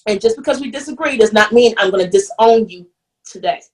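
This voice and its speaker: A young adult woman telling her own story as an interview guest, candid and animated, often restarting sentences and acting out what others said. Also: a little high, brisk, husky. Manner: animated, restarts often, acts out quoted voices.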